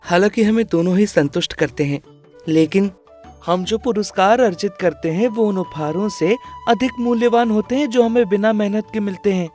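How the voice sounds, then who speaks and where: A woman plays a caring young elder brother in a children's moral cartoon. He has a mid-pitched voice and explains things gently, like a teacher. Music plays behind the speech. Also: normal speed, close to an everyday voice. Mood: gentle, instructive.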